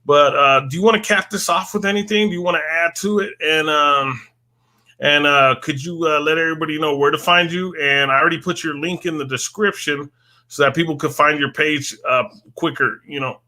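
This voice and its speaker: Bright-voiced man hosting a live stream. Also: fairly high pitch, fairly quick. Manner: hesitant, repeats words. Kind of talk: casual live-stream chat.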